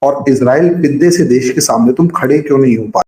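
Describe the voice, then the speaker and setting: Male political commentator, soft-edged timbre in a reverberant room, rhetorical and scolding. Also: mid-range voice, fairly quick. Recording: echoey room.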